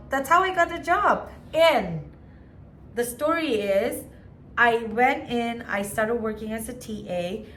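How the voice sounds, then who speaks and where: Woman, Naga accent, casual and chatty, switches languages, mid-pitched voice, soft hiss behind. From Nagaland, India. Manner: chatty, mixes English with Hindi and other Indian languages. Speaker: teacher in an international school. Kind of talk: casual chat.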